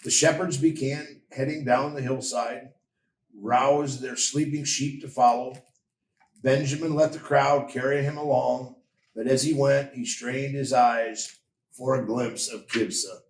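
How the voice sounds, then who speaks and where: A man narrates a storybook tale with slow, measured expression. His voice sits at an ordinary male pitch and comes from a little way off, with the room echoing around it. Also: steady tone. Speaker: story reader. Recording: roomy echo, distant from mic.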